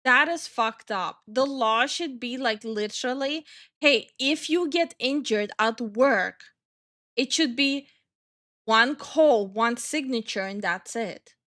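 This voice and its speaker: A woman's voice: a little high, slow pace, fairly bright tone. A woman from Estonia hosting a casual live stream, ranting heatedly, swearing often, and greeting viewers in chat. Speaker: streamer and channel host.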